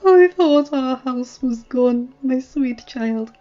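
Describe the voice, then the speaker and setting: A woman voicing a kindly, wise, very elderly male maester in a fantasy story, speaking in a courtly, measured way. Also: high voice, warm tone. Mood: tender. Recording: muffled audio with music.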